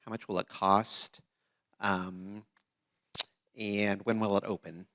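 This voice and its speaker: A man's voice: on the low side, level tone, slow, sharp tone. A male newspaper reporter asking short, direct questions at a press conference.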